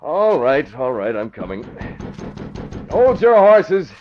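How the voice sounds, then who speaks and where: An actor playing a wry, composed newspaper publisher in a 1940s radio crime drama, mildly impatient in manner. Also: male voice, a little high, very animated, slow pace, soft background music. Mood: groggy, irritated. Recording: muffled old radio recording.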